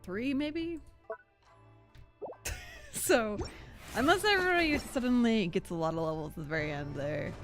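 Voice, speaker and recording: A woman's voice: fairly high pitch, lively intonation, moderate pace, pauses often, faint music behind. A young woman chatting casually and informally as she streams a video game, rambling and giggly. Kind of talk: casual livestream chat and game reactions.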